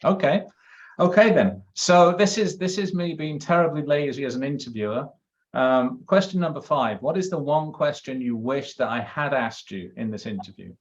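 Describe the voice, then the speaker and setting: A friendly man interviewing a new network member, working toward each question through fillers and small restarts, with an easy laugh. His voice sits at an ordinary middle pitch, and the video-call sound is a little dull and lo-fi. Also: moderate pace. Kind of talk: interview conversation.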